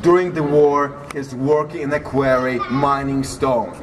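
A man in his thirties with a Polish accent, telling jokey, animated stories as a tour guide outdoors in an old town square. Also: mid-range voice, a little slow, music in the background. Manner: jokey, animated, acts out quotes. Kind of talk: walking tour storytelling. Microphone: far camera. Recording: distant voice with loud street noise.

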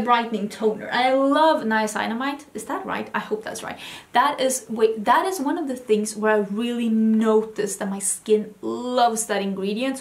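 A woman's voice: on the high side, echoey room. A woman in her thirties from Sweden with a Swedish accent, chatting enthusiastically in a beauty haul video at home, often restarting words. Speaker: beauty vlogger. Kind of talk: casual haul video talk. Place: home room with vanity lights.

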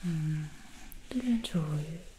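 A woman's voice: deep voice, expressive, dark tone, slight background noise. A woman whispering as an alluring demon stylist. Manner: slow, teasing and alluring. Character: seductive female demon hair stylist from hell. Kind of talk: ASMR roleplay. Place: indoor studio set. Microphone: close ASMR microphone.

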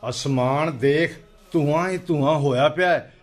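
A man's voice: fairly high pitch, normal speed, close to an everyday voice. An actor playing an earnest, determined village man in a radio play, speaking rustic colloquial Punjabi-accented speech. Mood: somber, resigned. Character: grieving, determined village man urging action against smoke.

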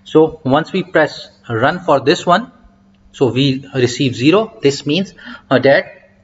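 A man giving a step-by-step software tutorial over a screen recording, talking in an explanatory way with frequent hesitant fillers. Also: on the high side. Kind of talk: screen-recorded coding walkthrough. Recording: dull lo-fi mic.

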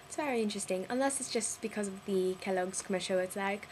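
A young woman putting on a British accent, gushing and rambling excitedly in a casual video diary. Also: mid-range voice, fast, a little hiss or hum. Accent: put-on British. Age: young adult. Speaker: vlogger.

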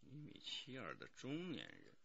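An actor playing a businesslike male police detective in a radio drama, asking probing questions in a measured way. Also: lowish voice, wildly swooping pitch, gravelly, fairly bright tone, breathy edge, dry recording, highs cut off, full character voice. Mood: probing, serious.

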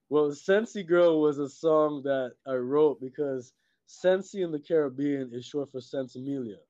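Mellow, halting man on a muffled call. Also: a little high, no room sound. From Trinidad and Tobago. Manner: halting, repeats words, peppers talk with "you know" and "like". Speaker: guest, filmmaker and musician. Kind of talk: casual interview conversation. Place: indoors on a video call. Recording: muffled, dull video-call audio.

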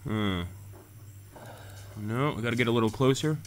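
A man's voice: medium pitch, normal speed, slight background noise. A man hosting a gaming channel, talking casually over gameplay and answering live chat comments.

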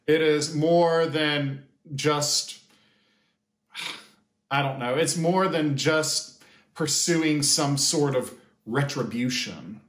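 An American pastor in his fifties at a desk in a small echoey room, speaking slowly and deliberately to his congregation through a camera. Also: on the high side, fairly bright tone. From United States. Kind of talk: sermon-like address to camera. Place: small indoor sitting room or office.

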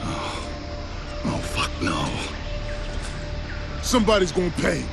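An enraged, grieving soldier rages in a high, gravelly voice that swings wildly. He is explosive and foul-mouthed, in a video game scene with music. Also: huge pitch swings, slow, exaggerated voice. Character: enraged, grieving, hot-headed male soldier. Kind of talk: video game cutscene acting.

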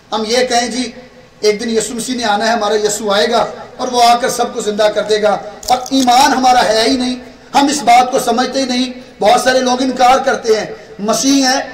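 A young man from Pakistan preaching a sermon as pastor, with a Pakistani Urdu accent, asking the congregation rhetorical questions and stretching his words for emphasis. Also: high voice. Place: small church room with red curtain, indoors. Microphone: stand mic at pulpit. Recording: echoey room, voice from far off.

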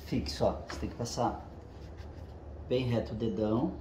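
A man's voice: lowish voice, moderate pace, dark tone, a little hiss or hum. A man hosting a casual how-to video, explaining each step while he works with his hands and checking in with his viewers as he goes. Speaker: channel host. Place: home garage with the door open. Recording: echoey garage.

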